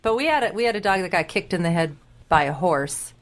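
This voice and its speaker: A woman's voice: fairly low pitch, lively intonation, brisk. A woman co-hosting a radio interview, chatty and laughing often. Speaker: radio co-host.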